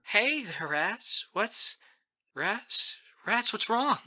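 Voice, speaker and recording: A narrator voicing a concerned, take-charge female friend in an audiobook, with a gentle but urgent, caring manner. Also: mid-range voice, a little slow, voice pushed up, close to an everyday voice. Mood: worried.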